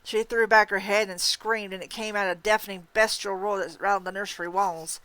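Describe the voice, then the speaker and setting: A woman reading a story aloud in dramatic, expressive, suspenseful narration. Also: medium pitch, fairly quick, no echo, poor audio. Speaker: story reader. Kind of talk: dramatic audiobook-style narration.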